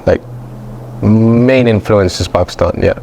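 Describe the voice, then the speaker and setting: A man with a fairly low voice and an international British accent, talking as a guest in a relaxed interview. He speaks in loose, easygoing run-on phrases, and a faint hiss sits under his voice. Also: measured pace, vocal fry. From Belgium. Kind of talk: casual interview chat.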